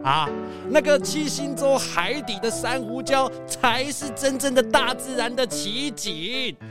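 A man acts out a hearty, carefree male adventurer for a kids' radio drama. The speech is slow, drawling and boastful, at a middling pitch, over clear music. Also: expressive. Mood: eager. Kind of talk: children's audio drama acting.

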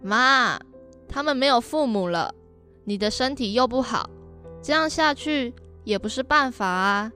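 Radio drama aunt, acted by a woman: caring, concerned, persuasive, voice a little high, faint music bed. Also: lively intonation, a little slow, pushed and bright. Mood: concerned, persuading. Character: concerned, caring adult aunt, sighing but dutiful.

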